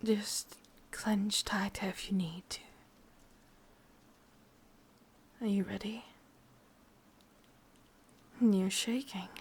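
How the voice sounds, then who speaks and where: A woman's voice: medium pitch, steady tone, slow pace, slight background noise. A woman with an English accent playing a sadistic, possessive yandere witch in an ASMR roleplay, mostly whispering in a coaxing yet commanding way. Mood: menacingly tender.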